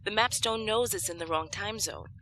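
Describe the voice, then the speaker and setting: A woman's voice: mid-range voice, moderate pace, pushed and bright, slight background noise, natural voice. A woman playing a scientist who explains danger emphatically in a science fiction audio drama. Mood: urgent. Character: brisk female scientist explaining urgent danger.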